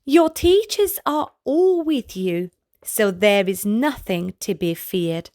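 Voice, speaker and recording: A woman reading a children's picture book aloud as a storyteller, in a gentle, deliberate manner addressed to young listeners. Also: medium pitch, animated, no room sound, clean studio sound. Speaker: children's storybook reader, channel host. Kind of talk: read-aloud bedtime story narration for children.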